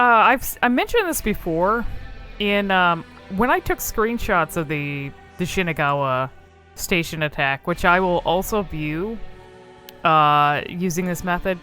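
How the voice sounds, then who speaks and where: Casual gameplay commentator, mid-pitched and bright, informal think-aloud delivery, music underneath. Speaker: video creator.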